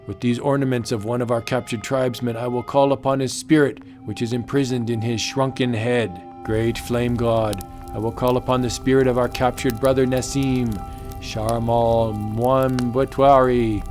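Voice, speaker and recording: A man's voice: mid-range voice, level tone, moderate pace, a little mellow, soft background music, lightly acted. A man voicing a proud, mighty witch doctor with stilted, declamatory ritual speech in a comic book audiobook. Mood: incantatory.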